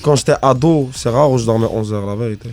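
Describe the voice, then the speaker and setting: A man's voice: mid-range voice, fast, darkish tone. A man in his twenties, a guest on a radio chat show, talking in a laid-back, hesitant way. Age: 20s. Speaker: studio guest.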